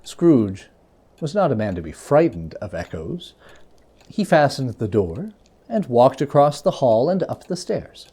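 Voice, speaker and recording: Animated, mellow man telling a story dramatically. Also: mid-range voice, husky. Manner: theatrical, deliberate, with drawn-out emphasis. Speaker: storyteller reading a classic tale aloud. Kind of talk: dramatic literary narration.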